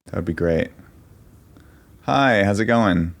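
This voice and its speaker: Man, casual interviewer, lowish voice, friendly, restarts and fillers. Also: expressive, slow, vocal fry. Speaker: interviewer posing as an employer. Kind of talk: casual video call conversation.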